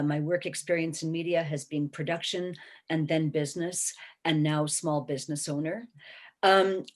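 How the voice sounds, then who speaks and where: A woman in her sixties speaking on a webinar panel, emphatic and encouraging, often checking in with "okay?". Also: lowish voice. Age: 60s. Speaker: panelist, small business owner. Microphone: video call.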